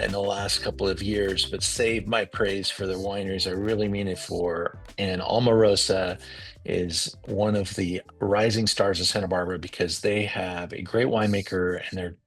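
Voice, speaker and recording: A man hosting a wine interview over a video call, enthusiastic and often pausing on fillers. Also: low-pitched, breathy edge, no echo. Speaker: wine show host.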